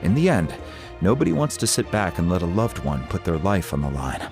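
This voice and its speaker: An actor playing a laid-back older man in a video game, a wise, caring family friend telling things in a measured, conversational way. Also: deep voice, very animated, creaky, music in the background, full character voice. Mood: resigned, sincere.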